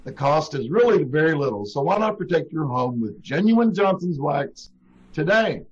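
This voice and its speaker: Male 1940s-style radio announcer, scripted sponsor read, higher register, muffled video-call audio. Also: animated, moderate pace, breathy edge. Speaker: commercial announcer in a radio show. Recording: dull, lo-fi video-call sound.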